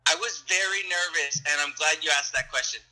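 A man's voice: fairly high pitch, fairly quick, bright, forceful tone, faint music behind, rough recording. A young adult man, an actor, answering interview questions in a friendly, rambling way with frequent laughs and restarts.

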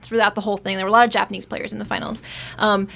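A woman's voice: mid-range voice, little pitch movement, racing, creaky, a bit bright, slight background noise. A woman on a podcast, chatting casually and recounting an event with frequent fillers. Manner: chatty storytelling, many fillers, laughs easily. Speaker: podcast guest. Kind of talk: casual chat.

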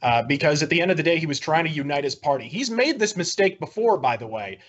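A man's voice: on the high side, lively intonation, quick pace, a bit bright, dull audio. A man in a heated political debate, argumentative, often breaking off and restarting his sentences. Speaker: debate guest.

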